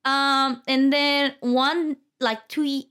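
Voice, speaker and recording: A woman's voice: high voice, fairly even intonation, takes it slowly, fairly bright tone. A woman in her thirties with a Mexican Spanish accent, chatting as a podcast guest, giggly, with loose grammar. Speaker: podcast guest, lifestyle blogger. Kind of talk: casual interview chat.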